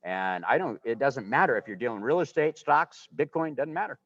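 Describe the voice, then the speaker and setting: A man's voice: medium pitch, animated, a little fast. A man in his sixties, talking casually and enthusiastically as a guest, rambling from one point to the next. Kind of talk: casual conversation. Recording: dull, muffled livestream audio.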